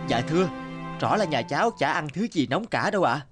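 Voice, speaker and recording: A man's voice: on the high side, expressive, brisk, over music, lightly acted. A man voicing an anxious, deferential village husband in a cartoon, polite and hesitant, with stammering. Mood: nervous, polite. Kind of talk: Vietnamese cartoon voice acting.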